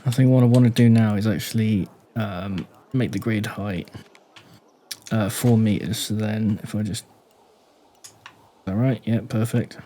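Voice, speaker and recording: Guy narrating his game build, keeps it low and quiet, thinking out loud at a desk mic. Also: fairly even intonation, slight background noise. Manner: thinks aloud, asks the viewer rhetorical questions; speaks deliberately low and quiet. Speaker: gaming YouTuber. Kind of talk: casual let's-play building commentary.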